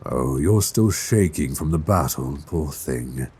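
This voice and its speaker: A man playing an ancient dragon king in an intimate ASMR roleplay, tender and wry, with courtly, teasing phrasing and a husky voice. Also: very deep voice, expressive, takes it slowly, darkish tone, exaggerated voice. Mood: tender, soothing. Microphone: close binaural microphone.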